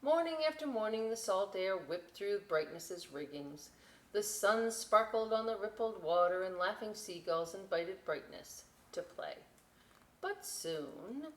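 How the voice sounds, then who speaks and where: A woman reading a picture book aloud as a storytime host, enthusiastic and expressive, with dramatic emphasis and chatty asides. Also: fairly low pitch. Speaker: library storytime reader. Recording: roomy echo, clear background noise.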